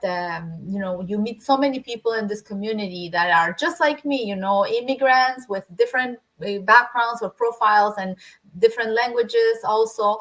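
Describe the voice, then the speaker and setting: A woman with a Spanish accent, a native Spanish speaker, talking as a guest in a casual interview, often restarting her sentences and filling pauses. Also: medium pitch, fairly bright tone, low-quality recording. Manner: hesitant, many restarts and fillers.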